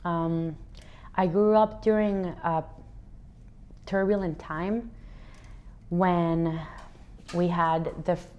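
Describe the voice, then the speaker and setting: Woman, thirties, from Venezuela, interview guest; low voice, reflective, earnest. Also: fairly even intonation, extremely slow, long pauses, clean tone, highs cut off. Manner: earnest, reflective, frequent restarts. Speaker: interview guest, athlete and fitness educator. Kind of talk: relaxed interview answers.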